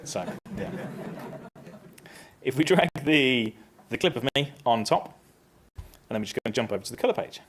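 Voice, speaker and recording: A man presenting a casual, upbeat software tutorial on a conference stage, stepping through each click. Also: mid-range voice, lively intonation, normal speed, pauses often, slight background noise. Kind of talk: live software tutorial talk.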